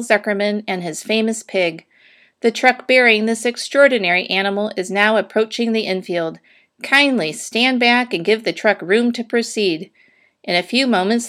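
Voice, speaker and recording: A woman doing a pompous booming fair announcer. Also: a bit bright, natural voice.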